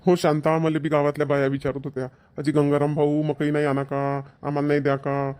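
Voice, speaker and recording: Man playing higher-voiced village farmer Gangaram. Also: monotone, fairly quick, darkish tone, clean tone, natural voice. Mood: agreeable, easygoing. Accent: rural Maharashtrian (Varhadi). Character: Gangaram, agreeable middle-aged village farmer husband. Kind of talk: Marathi cartoon comedy voice acting.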